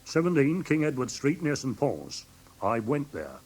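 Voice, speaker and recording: Flustered husky English pawnbroker, lively pitch. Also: male voice, a little slow. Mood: aggrieved. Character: indignant, simple-minded London pawnbroker, wronged and fussy. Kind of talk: classic British radio drama acting.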